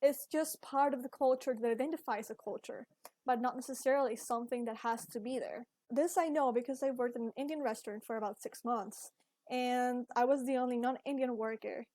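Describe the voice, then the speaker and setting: A young woman from Ecuador, a Spanish speaker with an Ecuadorian accent, giving an earnest, measured talk about her own life as a university student. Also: on the high side, steady tone, a little mellow, no room sound. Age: young adult. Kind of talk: scripted personal video essay.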